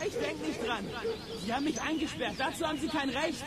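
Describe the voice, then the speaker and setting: A man's voice: very high voice, fairly quick, a crowd in the background. A male actor playing a daring, defiant boy on the run in a children's radio play. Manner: defiant, cocky. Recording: loud outdoor sound-effect noise.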